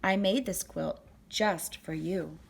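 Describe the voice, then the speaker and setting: A woman voicing a gentle, steadfast quiltmaker in a storybook, speaking earnestly. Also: lowish voice, slow, creaky, background noise.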